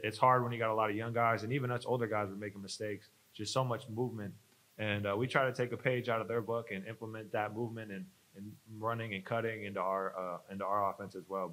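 A man's voice: on the low side, a bit bright, rough recording. A young man, a college basketball player, earnestly explaining the game to reporters at a press conference, speaking into a desk mic. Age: young adult. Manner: earnest, explanatory, some fillers. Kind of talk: postgame press conference answers. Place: press conference room, seated at a table. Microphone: desk mic on a stand.

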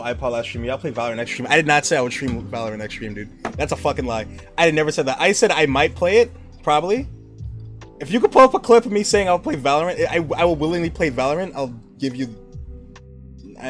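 A young man chatting casually on a live stream, rambling and swearing as he reads viewer messages. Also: on the high side. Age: young adult. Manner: rambling, swearing, laughing, with animated bursts. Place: dim room at a desk.

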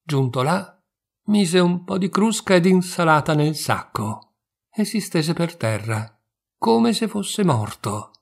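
A man in his sixties from Italy, reading a fairy tale aloud slowly and expressively. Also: fairly high pitch, gravelly. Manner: slow, measured, expressive. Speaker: storyteller.

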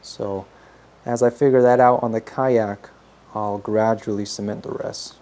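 A man's voice: on the low side, steady tone, a little slow, warm tone. A man giving scripted how-to narration as a DIY host, in a plain-spoken, instructional manner.

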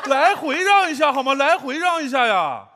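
A man performing manzai sketch comedy on stage as the straight man, with loud, exasperated objecting retorts. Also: very high-pitched, big pitch swings, moderate pace. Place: large TV studio stage with live audience. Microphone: headset. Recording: noisy stage recording with audience.

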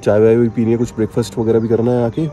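A man's voice: mid-range voice, monotone, racing, dark tone, faint music behind. A man chatting casually and enthusiastically to viewers as a travel vlogger, mixing in English words. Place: outdoors on a mountain trip. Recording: outdoor noise with vehicle sounds.

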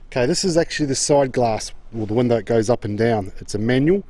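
A man's voice: mid-range voice, expressive, quick pace, background noise. A man giving an unscripted, matter-of-fact walk-around commentary outdoors, plain-spoken and often marking each point with an okay. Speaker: car auction agent presenting a walk-around. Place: outdoors in an open car yard.

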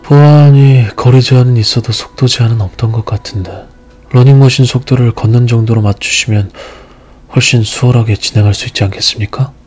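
A man voicing a calm, polite young man who speaks formally and reasons things out. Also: medium pitch, lightly acted. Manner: formal, measured.

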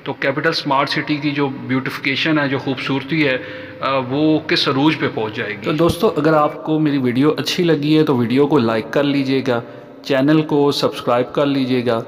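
Male Pakistani vlog host, mid-pitched, polite and halting tour narration, long reverb on the voice. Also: steady tone. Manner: halting, polite, addresses viewers formally. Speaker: real estate vlogger and host. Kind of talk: informal site tour narration.

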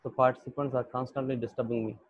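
A young Pakistani man with a Pakistani accent, lecturing an online English class in a halting way with many fillers. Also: mid-range voice, level tone, a little hiss or hum. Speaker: English teacher. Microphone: computer mic on a video call. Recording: dull lo-fi video call audio.

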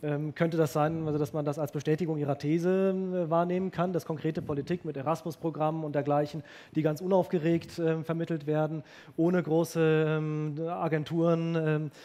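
A middle-aged man moderates a panel discussion on stage, phrasing long questions and pausing often with fillers as he builds them. His voice is fairly high for a man, picked up by a handheld mic. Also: steady tone, dark tone. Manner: hesitant questioning, many fillers. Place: stage of a lecture hall. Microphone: handheld microphone.

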